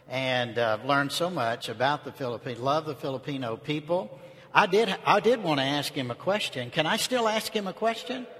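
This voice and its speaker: A man's voice: medium pitch, sharp tone. An older man from North Georgia with a Southern US accent, speaking to a congregation as a visiting preacher in a folksy, conversational way. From North Georgia, United States. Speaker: visiting preacher, seminary teacher. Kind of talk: sermon, informal opening remarks to a congregation. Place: large indoor church space. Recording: reverberant church hall.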